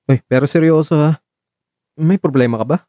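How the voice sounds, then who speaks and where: A man playing a caring, gently teasing young man in love in a romantic radio drama, speaking in an expressive, coaxing way. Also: fairly high pitch, normal speed, no room sound, clean studio sound, pitched above the performer's own voice, lightly acted. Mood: gently reassuring.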